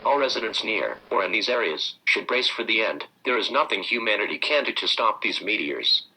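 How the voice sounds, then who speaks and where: A man's voice: fairly low pitch, expressive, fairly quick, bright, forceful tone, poor audio. A synthetic-sounding man reading scripted emergency broadcast announcements in a formal, impersonal manner.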